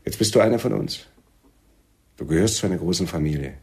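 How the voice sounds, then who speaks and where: Brief: low-voiced, husky male militant chief for radio thriller; measured, watchful, probing. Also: expressive, a little mellow, exaggerated voice. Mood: tender, solemn. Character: wary, soft-spoken male Palestinian militant leader, suspicious lover. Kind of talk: German radio play acting.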